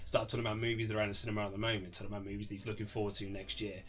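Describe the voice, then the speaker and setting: A man with a slightly low voice talks at a quick clip. He is an interview guest telling stories in a chatty, animated manner. Faint music plays behind his casual conversation. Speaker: author being interviewed. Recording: lo-fi amateur interview setup.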